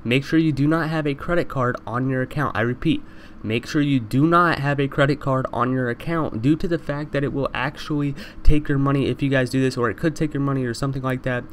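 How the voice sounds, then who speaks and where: A man's voice: medium pitch, steady tone, brisk, a little hiss or hum. A young man with a congested voice from allergies, talking straight to his viewers over game footage and calling them "you guys" again and again. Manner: repetitive, addresses viewers constantly as "you guys". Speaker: gaming YouTuber. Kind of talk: fast voice-over over gameplay.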